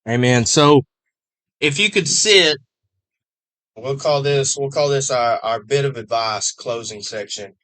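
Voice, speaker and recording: A man hosts a recovery podcast, talking slowly in a dry, close room. He gives brief affirmations, then reads out contact details. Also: medium pitch, fairly bright tone, no echo, low-quality recording. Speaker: podcast host. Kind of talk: interview podcast hosting.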